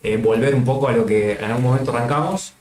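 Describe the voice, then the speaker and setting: A soft-spoken man from Salta with an Argentine accent, guesting on a home stream and feeling his way through each thought with plenty of fillers. His mid-pitched voice carries a roomy echo. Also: fast, a little hiss or hum. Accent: Argentine Spanish. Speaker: guest co-host. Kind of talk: casual stream chat.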